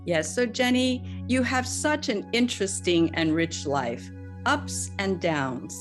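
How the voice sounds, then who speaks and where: A woman's voice: medium pitch, lively intonation, slow, over music. A woman hosting an online interview who introduces the questions in a gracious, inviting way. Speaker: host.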